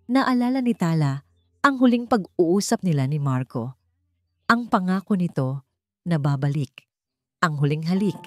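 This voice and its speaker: A woman storyteller reading dramatic scripted narration with solemn, deliberate phrasing. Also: lowish voice.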